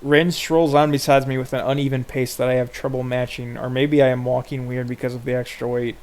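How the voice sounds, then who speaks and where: A man reading visual novel narration aloud unrehearsed, halting and stumbling over the text now and then. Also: mid-range voice, little pitch movement, darkish tone. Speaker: narrator in a group playthrough.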